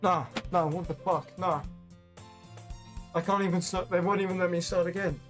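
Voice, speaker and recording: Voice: mid-range voice, fairly even intonation, normal speed. Casual, sweary gamer over music. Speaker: gaming YouTuber. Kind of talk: let's play commentary, reading game text aloud. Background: video game music.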